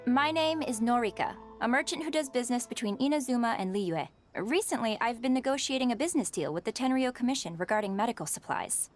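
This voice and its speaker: A woman's voice: fairly high pitch, animated, fast, few pauses, faint music behind, close to an everyday voice. A woman voicing a courteous, businesslike merchant in a video game, polite and well-spoken. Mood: curious, courteous.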